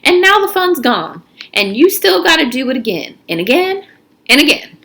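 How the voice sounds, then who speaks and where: A woman's voice: sits high, very animated, moderate pace, pushed and bright, voice pushed up, full character voice. A woman voicing a cocky teenage boy, a pushy, needling camp ringleader in a storybook. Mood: excited, needling.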